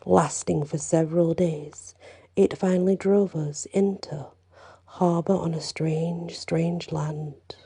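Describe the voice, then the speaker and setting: Woman storyteller, dark husky voice, hushed and unhurried, slips into whispers. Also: lowish voice, steady tone. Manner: slow, hushed, with whispered passages and casual asides. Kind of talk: reading a folktale aloud in first person.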